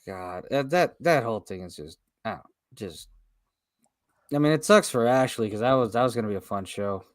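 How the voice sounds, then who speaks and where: A man's voice: on the low side, normal speed, no echo, clean studio sound. A man hosting a casual radio talk show, telling stories with lots of fillers. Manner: animated storyteller with frequent fillers.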